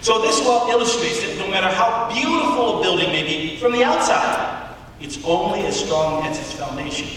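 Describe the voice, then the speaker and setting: Echoey, high-voiced man preaching. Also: animated, a bit bright. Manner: emphatic, deliberate, with rhetorical questions and pauses. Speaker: preacher giving a public address. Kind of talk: sermon. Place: large auditorium. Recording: echoey hall from far off, faint noise.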